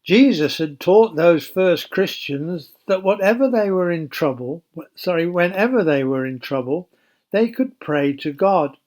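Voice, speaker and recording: A man narrating a Bible story for children as a storyteller, in a simple, measured, explanatory way. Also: sits high, animated, darkish tone.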